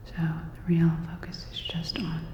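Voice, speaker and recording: A woman acts out a calm sci-fi repair technician in a whispered ASMR roleplay. The delivery is very even and unhurried, with soft clinical reassurance. It sits in a long, roomy reverb. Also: low-pitched. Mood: attentive, gentle. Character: calm, attentive female cyberpunk robot-repair technician. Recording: roomy reverberant sound, dull, faint noise.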